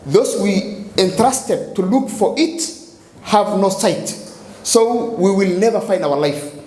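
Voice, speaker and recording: A high-voiced Kenyan man talks a festival audience through his poem. He restarts and repeats words as he hunts for the right one. His voice rings out in a roomy, echoey space with faint noise underneath. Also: expressive, mellow. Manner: halting, repeats words while searching for them. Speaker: poet performing on a festival stage. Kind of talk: spoken explanation of poetry with recitation. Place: festival stage. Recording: echoey live stage with faint background noise.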